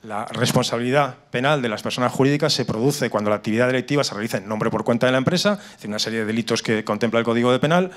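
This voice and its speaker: A man's voice: mid-range voice, racing, hardly any pauses. A middle-aged man with a Spanish accent from Spain gives an explanatory conference presentation into a handheld microphone, often restarting and repeating words. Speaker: conference speaker on cybersecurity. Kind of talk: live conference presentation with slides. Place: indoor conference room with seated audience.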